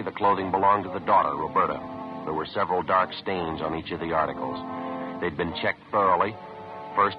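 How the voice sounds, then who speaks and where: A very low-voiced, stoic male detective played by an actor reports facts in a clipped, deadpan way. It sounds like a muffled old 1950s radio police drama. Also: lightly acted. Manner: deadpan, matter-of-fact. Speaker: narrator and lead actor. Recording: muffled vintage radio recording.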